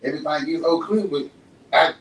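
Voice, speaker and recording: A man from Dallas hosting a podcast, asking questions in quick, animated, slangy bursts. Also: on the high side. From Dallas, Texas. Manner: quick, animated, slangy, often asks if you know what he's saying. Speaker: podcast host. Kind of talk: casual interview chat. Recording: echoey room, mic far off, faint hum.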